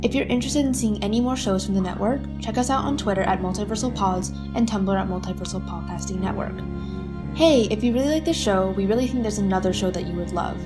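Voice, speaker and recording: Woman reading podcast credits over guitar music. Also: medium pitch. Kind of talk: scripted credits read. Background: plucked guitar music.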